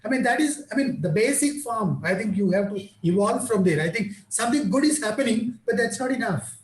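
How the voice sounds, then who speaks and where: A man's voice: sits high, animated, brisk. Indian-accented male lecturer, methodical, roomy video-call sound. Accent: Indian English. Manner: explanatory, repeats parallel phrases. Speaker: guest lecturer. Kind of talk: answering questions at an online conference.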